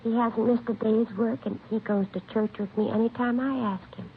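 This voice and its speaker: An actress playing a protective, trusting middle-aged aunt in a vintage radio drama, earnest and anxious. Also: female voice, on the high side, steady tone, moderate pace, close to an everyday voice. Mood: anxious, defensive. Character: protective, trusting aunt of a wayward young man. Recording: lo-fi vintage radio recording, faint hiss.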